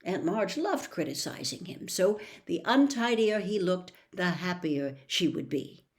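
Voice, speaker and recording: A woman narrating a story as an audiobook reader, expressive and dramatic, with emphatic phrasing. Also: lowish voice, measured pace.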